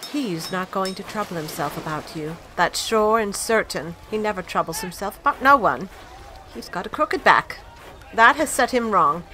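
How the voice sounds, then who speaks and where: An actress playing a stern, blunt, gossipy middle-aged housekeeper in an amateur audio drama. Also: female voice, medium pitch, noisy background, lightly acted. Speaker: actor.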